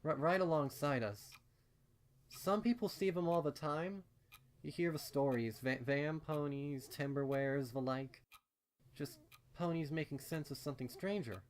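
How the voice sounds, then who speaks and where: A man voicing an earnest, anxious female pony in a storybook reading, with halting, stammering, insistent delivery. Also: a little high, close to an everyday voice. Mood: hesitant, searching. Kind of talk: acted storybook dialogue in a fan fiction reading.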